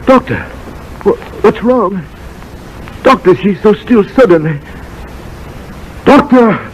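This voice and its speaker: A man's voice: high voice, expressive, slow pace. An actor playing a humble, anxious immigrant father in a 1930s radio drama. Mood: panicked. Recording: dull, noisy 1930s radio recording.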